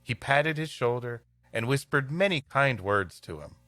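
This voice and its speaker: A man reading a storybook tale aloud as a podcast host, in expressive narration. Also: mid-range voice, measured pace, no room sound.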